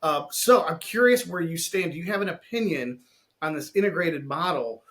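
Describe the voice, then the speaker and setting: Higher-pitched man hosting an interview. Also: lively intonation, moderate pace, fairly bright tone. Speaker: podcast host, interviewer. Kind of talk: conversational interviewing.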